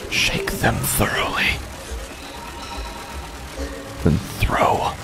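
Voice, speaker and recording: A man acting a haunted gambler who narrates his own horror story in a halting, dramatic way, with long pauses and near-whispers. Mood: tense dread. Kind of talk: scripted first-person horror narration. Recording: music bed under the voice.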